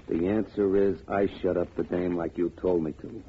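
An actor playing a blunt, casually menacing henchman in a 1940s radio crime drama, talking in rough gangster slang. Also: low-pitched, level tone, moderate pace, close to an everyday voice. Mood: confiding. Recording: muffled, noisy old radio recording.

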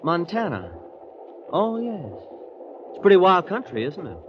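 A man's voice: high voice, wildly swooping pitch, measured pace, a little hiss or hum, heavily acted. An actor playing an impressionable twenty-year-old Missouri prep school boy in folksy, chatty 1940s radio drama style. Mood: eager. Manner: folksy, chatty, wide-eyed. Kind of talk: 1940s radio acting, first-person narration and dialogue. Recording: dull old radio recording with music.

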